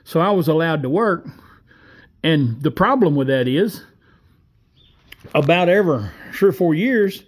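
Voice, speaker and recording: A man, telling a long personal story in a folksy, rambling way with frequent pauses. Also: on the high side, expressive. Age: 60s. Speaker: vlogger. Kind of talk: casual storytelling monologue.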